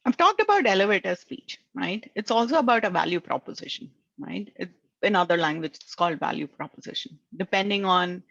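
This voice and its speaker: A woman's voice: lowish voice, animated, a little fast, gravelly, a bit bright, no echo. A woman with a thick Indian accent coaches job seekers, speaking English as her second language. She keeps checking in with "right?" as she goes. The sound is dry and somewhat muffled. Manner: earnest, tags lines with "right?". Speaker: career coach. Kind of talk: advice talk.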